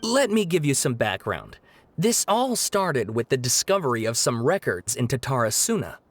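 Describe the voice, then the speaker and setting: Animated, earnest young student. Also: female voice, a bass voice, moderate pace, exaggerated voice. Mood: earnest, explanatory. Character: earnest young student, slightly awkward, exasperated. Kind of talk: animated video game voice acting.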